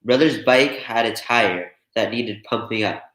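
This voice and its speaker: A man's voice: lowish voice, measured pace, a bit bright. A man reading a children's picture book aloud as a storyteller. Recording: echoey room.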